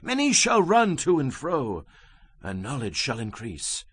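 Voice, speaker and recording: Solemn bible prophet, dramatic audiobook, voice swells and drops a lot, dry close sound. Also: male voice, medium pitch, huge pitch swings, unhurried, fairly bright tone, husky, no room sound, full character voice. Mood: grave, awed. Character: solemn male prophet recounting apocalyptic visions. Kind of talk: dramatized scripture reading.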